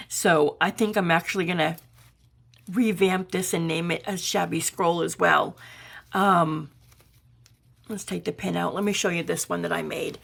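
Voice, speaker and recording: A woman from Georgia, hoarse and raspy from pollen, chatting her way through a crafting tutorial as a channel host. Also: medium pitch. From Georgia, USA. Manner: chatty, meandering, explaining while crafting.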